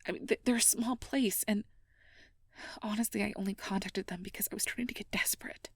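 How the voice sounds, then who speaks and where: A woman's voice: medium pitch, steady tone, no room sound. Woman acting a hesitant, stressed young female mage, close and dry. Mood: anxious, weary. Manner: hesitant, halting, breathy half-whispered confiding with sighs. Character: stressed, self-blaming young female air mage confiding in partner. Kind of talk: ASMR role-play, intimate personal-attention acting.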